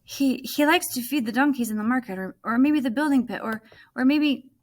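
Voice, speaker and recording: A woman's voice: high voice, brisk, voice pushed up, close to an everyday voice. A woman voicing an anxious, pleading young refugee man in a storybook reading. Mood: anxious, halting. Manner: stammering, pleading when distressed.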